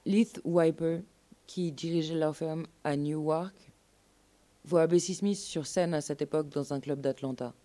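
A woman's voice: sits low, steady tone, measured pace, warm tone. A woman narrating a scripted documentary story as part of a live radio show. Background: music.

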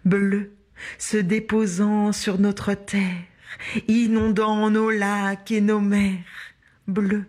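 A woman storyteller performing a children's tale in a hushed, intimate way, speaking straight to the young listener. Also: mid-range voice, level tone, drawn-out words.

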